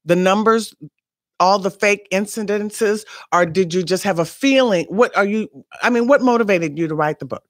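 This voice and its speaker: Man host with a high voice, dry close sound, piles up questions. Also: moderate pace, no echo. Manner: repeats himself, stacks questions. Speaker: show host. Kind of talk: interview hosting.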